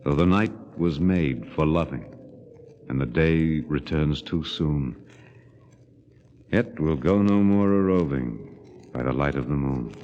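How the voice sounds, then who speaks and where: A brooding spaceman in a 1950s radio play. His voice is extremely deep, reverent and measured, as if every line were poetry. The old recording carries faint noise. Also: dull audio. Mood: solemn reciting. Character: brooding, poetry-loving astronaut haunted by dead Mars. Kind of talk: 1950s radio drama acting.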